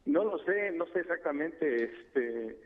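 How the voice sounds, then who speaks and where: A man from Chiapas whose first language is Tseltal, speaking as a guest expert by phone in a measured, formal way. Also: fairly high pitch, expressive. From Chiapas, Mexico. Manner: measured, formal, addresses host by name. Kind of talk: radio phone interview. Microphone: telephone. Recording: tinny phone line.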